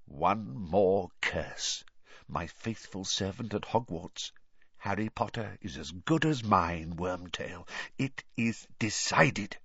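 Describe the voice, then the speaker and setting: A man doing a cold, silky dark lord. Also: deep voice, animated, takes it slowly, slightly rough, no echo, highs cut off, exaggerated voice. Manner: cold, quiet, mocking menace.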